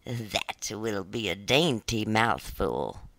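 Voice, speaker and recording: Wicked old witch, read out by a storyteller, drags every word, whispers, gravelly, dry close sound. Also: mid-range voice, huge pitch swings, sharp tone, no room sound, exaggerated voice. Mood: gloating. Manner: slow, drawn-out, sometimes whispered. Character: wicked old child-eating witch, mocking, greedy.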